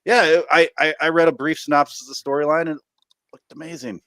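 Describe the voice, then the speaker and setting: A man's voice: a little high, fairly even intonation, fast talker, pushed and bright, no room sound. A man co-hosting a podcast in casual chat, rambling with many fillers and restarts. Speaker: podcast co-host.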